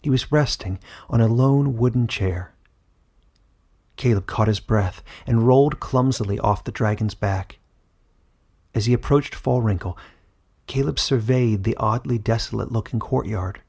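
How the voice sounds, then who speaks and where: A man narrating a scripted fantasy story as an audiobook, in a measured storytelling manner. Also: mid-range voice, gravelly, darkish tone, breathy edge.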